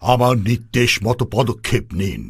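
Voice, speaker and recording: A man's voice: mid-range voice, lively intonation, moderate pace, natural voice. A man voicing a haughty, pleasure-seeking king in a historical cartoon, speaking in an imperious, commanding way over background music. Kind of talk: animated cartoon voice acting.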